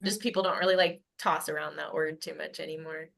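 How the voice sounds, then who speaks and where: Woman, lowish creaky voice, informal and tentative, thinking out loud in discussion. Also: steady tone, brisk. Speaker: table read participant. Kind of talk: casual group discussion.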